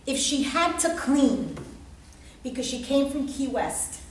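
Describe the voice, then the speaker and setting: High-voiced woman lecturing in an echoey room. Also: big pitch swings, takes it slowly. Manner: emphatic, probing, repeats phrases. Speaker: lecturer and group leader. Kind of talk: interactive psychology lecture. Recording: echoey room, distant mic, faint noise.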